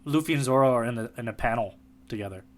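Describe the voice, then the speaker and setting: A man's voice: medium pitch, fast. A man co-hosting a podcast in casual conversation, eager and keeping his partner in the loop with frequent "right?" check-ins. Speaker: podcast co-host. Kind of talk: casual manga discussion chat.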